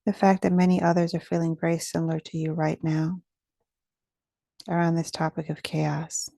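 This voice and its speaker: A woman leading a guided meditation in a gentle, soothing, measured way, with pauses between phrases. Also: sits low, level tone, vocal fry, no room sound, clean studio sound.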